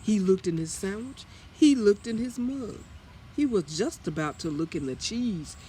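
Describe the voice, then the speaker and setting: A man reading a children's story aloud as storyteller, in an expressive manner. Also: sits high, normal speed, slight background noise. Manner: expressive, with acted character lines.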